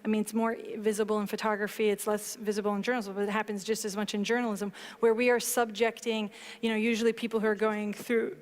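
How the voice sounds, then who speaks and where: A woman in her thirties, a book author on a panel, explaining her work in a measured way and reading aloud from it on stage. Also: medium pitch, flat delivery. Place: large hall stage before an audience. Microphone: headset mic. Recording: long hall reverb.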